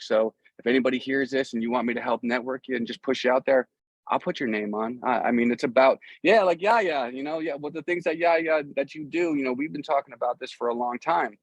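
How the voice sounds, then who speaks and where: A man's voice: medium pitch, dry recording. A middle-aged man chatting casually as an interview guest, telling stories in a rambling, easygoing way. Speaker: guest, musician. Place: outdoors.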